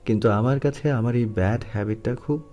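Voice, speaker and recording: A man's voice: medium pitch, brisk, mellow, lightly acted. A man playing a possessive, teasing, lovestruck young man in an audio story. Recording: music under the voice.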